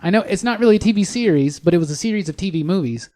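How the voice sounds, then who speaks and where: A man's voice: sits high, animated, very fast, warm tone. A man co-hosting a podcast in casual pop culture chat, talking with a fan's enthusiasm and emphatic words. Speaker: podcast co-host.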